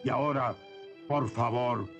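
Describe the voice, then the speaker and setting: A man's voice: mid-range voice, steady tone, measured pace, faint music behind, close to an everyday voice. An actor playing a proud, formal ancient warrior king in a Latin American Spanish cartoon dub. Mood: proud, solemn. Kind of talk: animated series dubbing.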